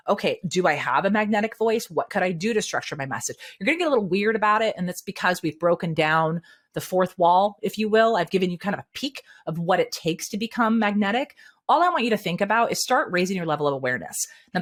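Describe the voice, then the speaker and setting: A woman speaking coach, a guest on an interview show, giving candid and energetic advice. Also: fairly low pitch.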